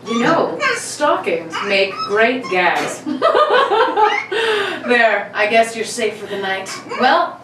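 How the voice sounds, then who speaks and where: A woman's voice: medium pitch, lively intonation, slow pace. A woman playing a cocky male burglar of about thirty in an old-time radio play reading, gloating and mock-courteous. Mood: cool, menacing. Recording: roomy, distant mic, background noise.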